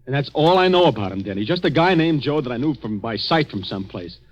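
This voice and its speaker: A shaken young American man, played by a male radio actor, stammers anxiously through his lines with a husky edge and a very mobile pitch. It is 1940s radio drama, with the dull sound of an old recording. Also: expressive, natural voice. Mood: anxious, confessional. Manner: halting, agitated, stammering. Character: shaken, anxious unemployed young man after a drugged night.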